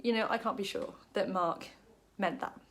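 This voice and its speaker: A woman from Wales talks through a short Bible passage in a relaxed, chatty way. She fills her sentences with ums and drops in small jokes about herself. Her voice sits at an ordinary middle pitch. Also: normal speed. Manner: chatty, many ums, self-deprecating jokes. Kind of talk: casual Bible study talk to camera.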